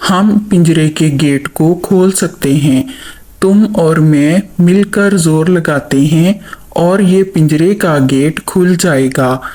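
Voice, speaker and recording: A woman's voice: low-pitched, slow pace, mellow, pitched below the performer's own voice. A woman voicing a proud, grumbling male crow with a lecturing manner in a children's animal story. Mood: earnest, persuading. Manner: indignant, lecturing.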